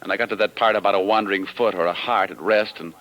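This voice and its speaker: An actor playing a wry, cool-headed private detective in a 1950s radio crime drama, glib and coaxing with a wisecracking manner. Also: male voice, fairly low pitch, steady tone, fast talker, a bit bright, highs cut off, close to an everyday voice. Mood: wry, teasing. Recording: old radio recording, faint music.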